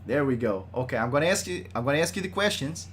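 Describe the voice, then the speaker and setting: A man's voice: medium pitch, animated, fast talker, fairly bright tone, slight background noise. A man hosting a casual podcast livestream, joking and loose with a groggy voice. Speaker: podcast host.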